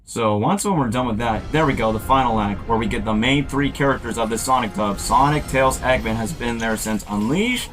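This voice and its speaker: A man hosting a casual reaction commentary in an excitable manner, as an amateur voice actor. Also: mid-range voice, a little fast, few pauses, faint music behind. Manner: excitable, breaks into quoted character lines.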